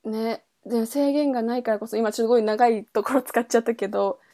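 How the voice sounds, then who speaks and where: A woman's voice: a little high, brisk, dark tone. A woman podcast host in a casual book chat, thinking aloud in trailing, loosely linked phrases. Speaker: podcast host reviewing a novel.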